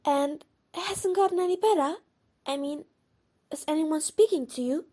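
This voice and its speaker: A woman acts as a studious teenage witch girl in an audio play. Her voice sits extremely high. She is brisk and a little bossy, like a keen schoolgirl. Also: warm tone, close to an everyday voice. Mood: concerned.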